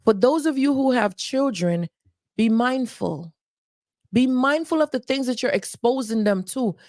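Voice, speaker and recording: A woman's voice: on the high side, expressive, unhurried, darkish tone, dry recording, studio quality. Emphatic woman life coach. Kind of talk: livestream advice talk.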